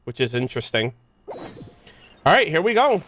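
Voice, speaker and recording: A man's voice: fairly high pitch, big pitch swings, normal speed, fairly bright tone. A man doing casual, rambling livestream game commentary, informal and stumbling a little when he reads aloud. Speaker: game streamer. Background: video game music.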